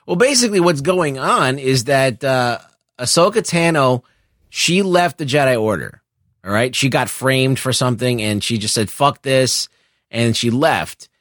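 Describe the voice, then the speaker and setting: A man with a mid-pitched voice in a very dry recording. He explains things casually as a co-host, opinionated and blunt, wandering a bit as he goes. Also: lively intonation, unhurried, fairly bright tone, no room sound. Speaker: podcast co-host. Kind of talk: casual explanatory pop culture chat.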